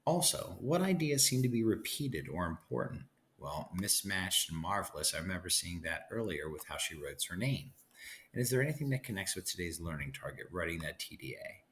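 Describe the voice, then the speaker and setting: A man's voice: low voice, big pitch swings, brisk, fairly bright tone, a little hiss or hum. A man giving an instructional lesson as a teacher, thinking aloud and guiding listeners with frequent check-ins.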